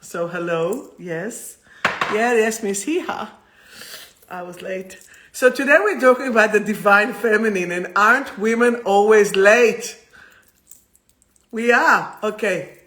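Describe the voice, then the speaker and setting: A woman's voice: mid-range voice, slow, fairly bright tone. A woman with an Israeli accent hosting a chatty livestream spiritual talk in an effusive, playful, rambling manner. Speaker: host of a livestream soul talk.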